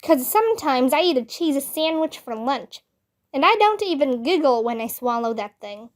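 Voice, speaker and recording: A woman's voice: high-pitched, lively intonation, normal speed. A woman voicing a feisty, chatty, literal-minded little girl who over-explains in a storybook. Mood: puzzled, stubborn. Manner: indignant, over-explaining, childish.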